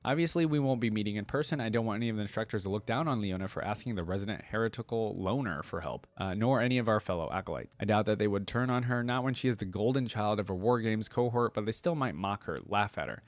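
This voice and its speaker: A man's voice: lowish voice, fast, few pauses, dry recording, close to an everyday voice. A man reading the formal, wry diary and letters of a guarded, self-doubting young female outcast from game lore. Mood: wary, reflective.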